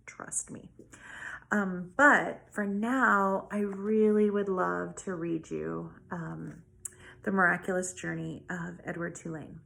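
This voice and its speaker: A woman schoolteacher talking and reading aloud to children in an expressive way. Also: lowish voice, creaky. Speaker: elementary school teacher. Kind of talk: casual talk to pupils and storybook read-aloud.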